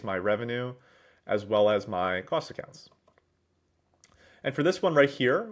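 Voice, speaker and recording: A man narrating a business software demo in a businesslike, explanatory way, with wordy explanations. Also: fairly low pitch. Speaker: presenter, managing director at a software consultancy.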